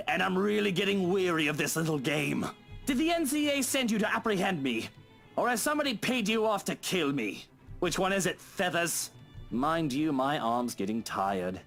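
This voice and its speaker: A man voicing a haughty, sardonic, short-tempered homeowner in a visual novel, with mocking, drawn-out emphasis. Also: fairly high pitch, fairly bright tone, voice pushed up. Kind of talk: dramatic visual novel read-aloud.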